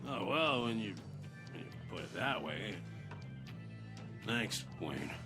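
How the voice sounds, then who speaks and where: An actor playing a gravelly, belligerent barfly thug in a video game, blunt and threatening. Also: male voice, lowish voice, very animated, slow. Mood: indignant, then mollified. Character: belligerent, thuggish barfly spoiling for a fight. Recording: music under the voice.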